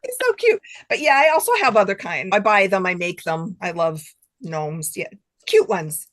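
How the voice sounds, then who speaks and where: A woman's voice: on the low side, lively intonation, moderate pace. A middle-aged or older woman chatting casually as an interview guest, effusive and enthusiastic. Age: middle-aged or older, has grandchildren. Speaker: guest author.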